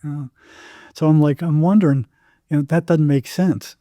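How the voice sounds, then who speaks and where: A man's voice: a little high, fairly even intonation, normal speed, dark tone, no echo, studio quality. An older American man telling anecdotes casually as an interview guest, acting out bits of dialogue as he goes.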